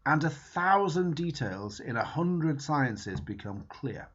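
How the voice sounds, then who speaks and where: A man reading a book foreword aloud in a measured, emphatic way. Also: fairly high pitch, a little hiss or hum. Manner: measured, emphatic reading with lively rises and falls. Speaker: reader. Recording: dull lo-fi recording.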